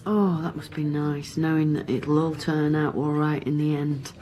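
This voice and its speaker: A woman playing a guarded, firm police inspector in a naturalistic radio drama, speaking in a measured, dry way. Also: sits low, level tone, dark tone, lightly acted. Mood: wry. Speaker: actor playing a police detective.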